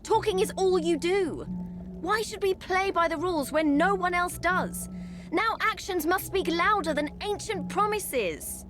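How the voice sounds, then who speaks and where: An actress playing a fiery, rebellious ancient handmaiden in a video game, sarcastic, defiant and biting. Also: female voice, very high voice, unhurried, music in the background. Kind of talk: scripted game drama. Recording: noisy ambience behind the voice.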